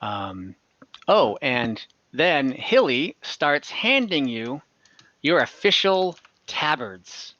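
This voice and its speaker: A man narrating a tabletop fantasy game as game master over a video call, in a halting, improvised way. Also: medium pitch, big pitch swings, pushed and bright, no room sound. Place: indoors at home, on a video call. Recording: slightly dull online call audio.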